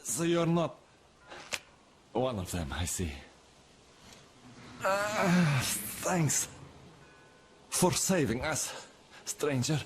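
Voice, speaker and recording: A man's voice: a little high, big pitch swings, slight background noise, exaggerated voice. An actor voicing a tired, grateful man just freed from captivity. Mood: weary. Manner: slow, tired, halting. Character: weary rescued male prisoner, grateful. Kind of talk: video game cutscene voice acting.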